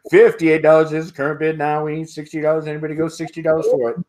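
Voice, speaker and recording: A middle-aged man hosts a live online auction from his home, calling out bids in a steady, repetitive rhythm. His voice sits slightly high for a man. He laughs often between calls, and the sound comes through an earbud headset on a video call. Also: rapid-fire. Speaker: auctioneer host. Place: home room with sports memorabilia.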